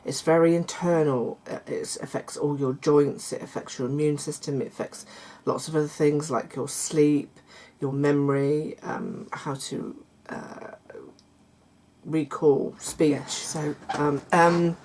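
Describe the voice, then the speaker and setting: A middle-aged woman, chatting casually to her viewers in a croaky voice, hesitant with many fillers and restarts. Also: sits low, steady tone, measured pace. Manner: warm confiding tone toward viewers. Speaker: vlogger. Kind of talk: casual chat to camera.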